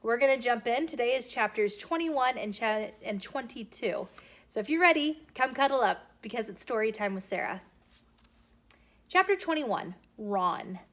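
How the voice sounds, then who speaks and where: Animated high-voiced woman reading children's stories. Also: moderate pace. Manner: animated, expressive, addresses young listeners directly. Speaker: storyteller host. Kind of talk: children's story read-aloud. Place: bookshop, with ambient noise. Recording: clear background noise.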